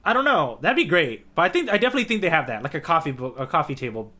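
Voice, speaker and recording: A man co-hosts a podcast in a casual, conversational style. His voice is a bit higher than the average man's. His delivery is informal and slangy. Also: sing-song, rapid-fire, sharp tone. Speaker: podcast co-host. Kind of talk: casual chat.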